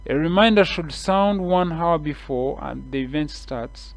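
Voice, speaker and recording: A man with a Kenyan English accent, guiding viewers step by step through a screen-share tutorial with relaxed, repeated explanations and frequent fillers. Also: fairly high pitch, a little slow, creaky.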